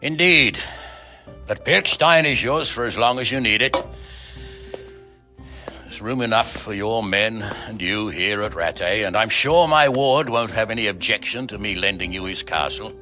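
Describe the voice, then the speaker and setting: A man playing a blunt, commanding nobleman in a video game, speaking with forceful authority. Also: on the low side, lively intonation, normal speed, soft background music. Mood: hospitable. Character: blunt, foul-mouthed, commanding noble lord. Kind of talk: video game cutscene acting.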